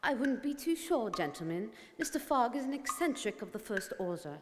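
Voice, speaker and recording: A woman's voice: on the high side, big pitch swings, moderate pace. A woman playing a precise, skeptical club member in a radio drama, speaking formally. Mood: assured. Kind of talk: stage radio drama acting. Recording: reverberant hall, clear noise, clicks.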